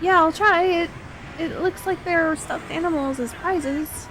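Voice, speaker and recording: Woman acting a high-voiced, sweet, bashful young woman. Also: a little slow, noisy background. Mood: playful, nervous. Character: sweet, bashful young woman confiding in her best friend. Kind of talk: ASMR roleplay audio drama.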